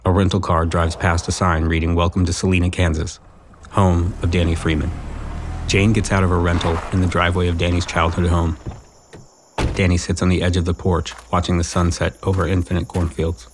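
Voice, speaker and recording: A man's voice: sits low, little pitch movement, fairly quick, slight background noise, lightly acted. A man reading scripted narration for a romantic comedy audio drama.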